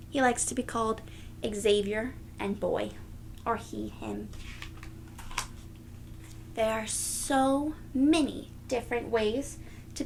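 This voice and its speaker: Slow, high-pitched woman reading to children. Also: creaky, slight background noise. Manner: deliberate, teacherly, sing-song phrasing for young children. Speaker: read-aloud storyteller. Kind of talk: children's picture book read-aloud.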